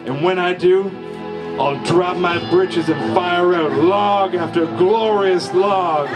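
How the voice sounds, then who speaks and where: A man reading a comic first-person story aloud in a slow, folksy deadpan drawl at a live comedy show. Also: sits high, music in the background. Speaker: storyteller reading his father's short story.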